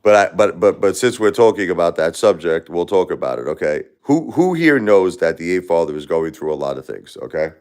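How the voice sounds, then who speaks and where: A man's voice: low voice, very animated, fairly quick, few pauses, a little mellow, clean tone. A man from Brooklyn, talking casually on his livestream, rambling with repeated words and answering chatters by name. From Brooklyn, New York. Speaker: livestream host. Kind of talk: casual livestream chat with viewers.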